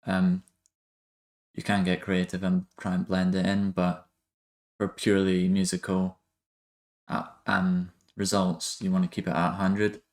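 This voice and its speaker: A man's voice: low-pitched, completely flat delivery, measured pace. A man narrating a music production tutorial in a casual, hesitant, improvised way.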